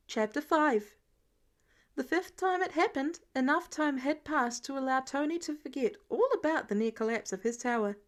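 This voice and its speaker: High-pitched woman reading a story. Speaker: podfic reader. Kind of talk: expressive scripted story narration.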